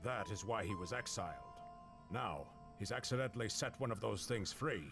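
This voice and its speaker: Stern, gravelly monster-hunting master. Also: male voice, fairly low pitch, normal speed, a bit bright, faint music behind. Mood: urgent, stern. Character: stern veteran monster-hunter master, urgent, authoritative. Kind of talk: video game voice acting.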